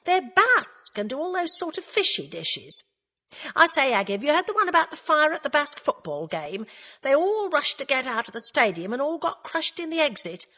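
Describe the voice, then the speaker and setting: A woman's voice: very animated, normal speed, sharp tone, heavily acted. A woman voicing a camp, flamboyant middle-aged man who chatters and tells groan-worthy jokes. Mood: teasing, camp. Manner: flamboyant, teasing, high-flown.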